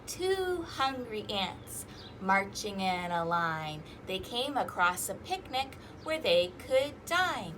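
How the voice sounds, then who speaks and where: A woman hosting a children's storytime, reading rhymes and picture books aloud in an animated, sing-song, exaggerated way. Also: high-pitched, a little hiss or hum. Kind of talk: interactive children's read-aloud with rhymes and fingerplay.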